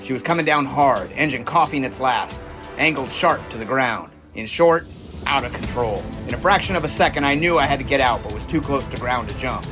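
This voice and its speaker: A man's voice: medium pitch, a little fast, over music, lightly acted. A man playing a confident, seasoned 1920s test pilot in a scripted audio drama, talking in a folksy, assured, explanatory way. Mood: gritty recounting.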